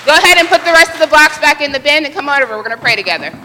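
A woman's voice: high-pitched, animated, very fast, a crowd in the background, noisy background. A woman leading a children's activity and prayer in church, talking in an encouraging, upbeat way. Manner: encouraging, upbeat teacher talk. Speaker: children's message leader. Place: church sanctuary. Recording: echoey church from a distance.

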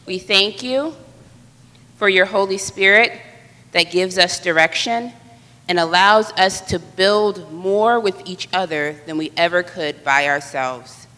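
A woman's voice: on the low side, slow, bright, forceful tone. A woman leading a children's activity and prayer in church, talking in an encouraging, upbeat way. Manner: encouraging, upbeat teacher talk. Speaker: children's message leader. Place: church sanctuary. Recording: echoey church from a distance.

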